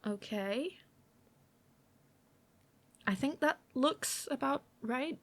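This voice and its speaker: High-voiced, playful young woman roommate, acted by a woman. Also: lively intonation, very slow, a bit bright, full character voice. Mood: amused, improvising. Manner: chatty, self-deprecating, hesitant, often giggly, murmuring to herself. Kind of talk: girlfriend ASMR audio roleplay.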